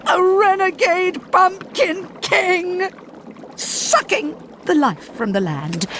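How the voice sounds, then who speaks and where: A woman's voice: sits high, slight background noise, full character voice. A woman voicing an eccentric, doting, volatile witch in a game cutscene, theatrical and given to swings between murmurs and shrieks. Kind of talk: animated game cutscene acting.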